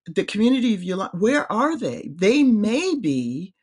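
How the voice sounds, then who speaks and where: A middle-aged woman with a medium-pitched voice speaks haltingly and pauses to search for words. She is a professor in a casual theological discussion. She is heard over a video call from her home office. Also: very animated, darkish tone, slightly rough. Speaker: seminary professor.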